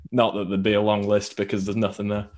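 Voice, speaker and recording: A man with a fairly low voice. He co-hosts a podcast and talks in a loose, slangy, laddish style, as if among mates. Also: level tone, quick pace, a little mellow. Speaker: podcast co-host. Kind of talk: casual chat.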